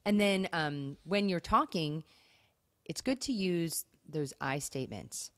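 A woman with a deep voice for her sex speaks as a podcast co-host. Her pitch moves in a lively way as she shares conversational advice. The recording is dry and close. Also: slow, dry recording.

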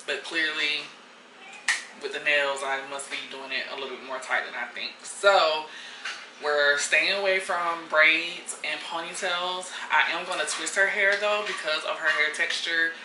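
A woman's voice: sits low, a little slow. A young woman chatting casually to the camera in her apartment kitchen, filling her talk with easygoing fillers. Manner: chatty, relaxed and conversational, with frequent fillers. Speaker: lifestyle vlogger. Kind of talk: casual vlog chat to camera. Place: small apartment kitchen indoors. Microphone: camera mic from a few feet away. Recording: echoey kitchen, distant camera mic, audible background noise.

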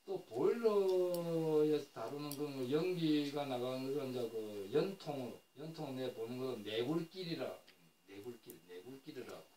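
A man's voice: medium pitch, expressive, a little slow, hardly any pauses, mellow. An older man giving a classroom lecture on traditional floor heating, rambling and correcting himself, with many fillers as he explains. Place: lecture room. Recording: echoey room, recorded from a distance with faint noise.

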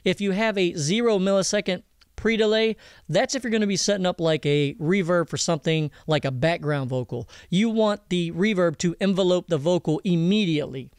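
A man giving a casual software tutorial, explaining informally and directly to viewers. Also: high-pitched, clear, resonant tone, no echo, studio quality. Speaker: audio mixing instructor. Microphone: close voice-over mic over screen recording.

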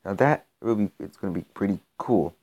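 A young man hosting a mobile game channel, his voice a bit raspy from being sick, rambling and cutting himself off as he comments on his matches. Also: on the low side, a little fast, a little mellow, highs cut off. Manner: rambling, self-interrupting, gets exasperated during play. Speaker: gaming channel host. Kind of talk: casual gameplay commentary.

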